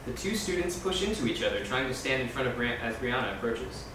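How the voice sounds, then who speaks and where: Brisk man reading, in a very echoey room. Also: medium pitch, very animated, a bit bright. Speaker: stage directions reader. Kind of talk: reading screenplay directions aloud. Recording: very echoey room, distant mic, noisy.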